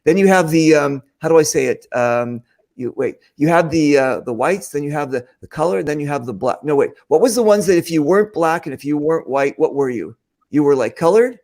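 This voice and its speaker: A man's voice: on the high side, expressive, fairly quick, a little mellow. A man hosting a casual podcast chat, rambling through questions and often restarting his sentences. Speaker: podcast host.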